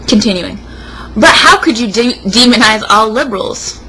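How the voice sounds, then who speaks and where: Bright-voiced young American woman, sarcastic. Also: a little high, animated, measured pace, slight background noise, rough recording. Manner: sarcastic, emphatic delivery. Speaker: co-host. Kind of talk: mock-dramatic reading aloud with casual banter.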